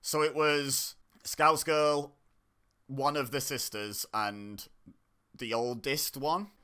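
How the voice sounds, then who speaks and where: Bright-voiced, joking young man reacting. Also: medium pitch, steady tone, slow pace, clear, resonant tone. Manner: chatty, joking, laughs often, self-interrupting. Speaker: online reaction host. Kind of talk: casual reaction commentary.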